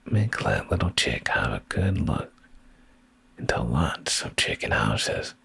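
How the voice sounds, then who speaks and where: A man softly reading a bedtime story in ASMR style, in a deep, gravelly, breathy voice that often slips into a whisper, drowsy and soothing, close to the microphone. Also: sing-song, takes it slowly, no echo.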